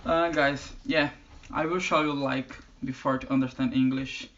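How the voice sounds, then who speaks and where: A man from Brazil, speaking English with a Brazilian Portuguese accent as a non-native speaker, talking casually to camera with halting phrases and frequent restarts. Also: medium pitch, fairly even intonation, a bit bright, dull audio. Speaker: channel host. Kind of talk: casual vlog talk. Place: indoors in the UK.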